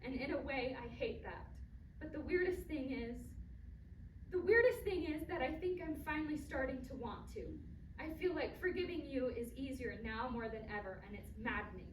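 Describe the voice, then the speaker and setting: Woman acting high-voiced earnest grown daughter, distant and echoey. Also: animated, moderate pace. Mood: anguished, resentful. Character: emotional grown daughter, hurt yet caring and earnest. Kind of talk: staged play reading. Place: live indoor staged reading, far from mic. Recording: distant, echoey room recording with loud noise.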